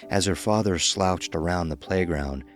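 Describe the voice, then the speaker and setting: A man's voice: sits low, normal speed. A man narrating a scripted horror story. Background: faint music.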